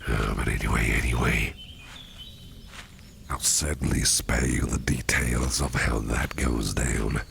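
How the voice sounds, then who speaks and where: A man playing a protective, faintly sinister businessman boss in an ASMR roleplay, speaking in a soothing, unhurried, gravelly half-whisper. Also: rumbling low, fairly even intonation, background noise, heavily acted. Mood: quietly menacing. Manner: soothing, unhurried, repeats reassurances. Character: sinister yet protective businessman boss, male, smooth-talking, doting.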